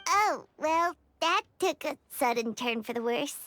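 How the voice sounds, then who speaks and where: A woman voicing a small, chirpy, excitable childlike fairy companion in a video game. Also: sits high, measured pace, full character voice. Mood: curious, cheeky. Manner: chirpy, exaggerated reactions.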